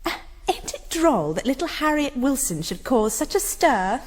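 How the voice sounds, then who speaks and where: A woman's voice: high voice, very animated, unhurried, in a large hall, exaggerated voice. A woman playing a saucy, gleeful London courtesan in a historical radio drama, arch, playful and mannered.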